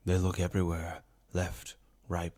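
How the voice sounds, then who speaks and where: A man narrating a dark fantasy story in ASMR style, his voice breathy and often dropping to a whisper. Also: sits low, completely flat delivery, a little slow. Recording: loud music under the voice.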